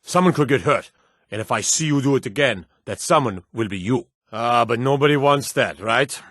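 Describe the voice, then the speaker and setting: A stern fantasy game guard, wary and authoritative. His voice sits at an average male pitch. He speaks curtly, like a soldier on duty, in a very dry recording with no room around it. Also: lively intonation, normal speed, a bit bright, no echo. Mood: stern, smug. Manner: authoritative, curt, clipped commands. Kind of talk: fantasy video game character dialogue.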